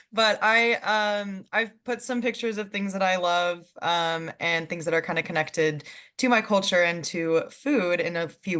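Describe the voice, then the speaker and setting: A young woman in her twenties with a low-pitched voice chats over a video call. She talks in a loose, friendly way and leans on "um" a lot. She laughs easily as she goes. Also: a bit bright. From California. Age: 20s. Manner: chatty, many "um"s, laughs easily. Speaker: guest panelist. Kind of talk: casual chat on a video call.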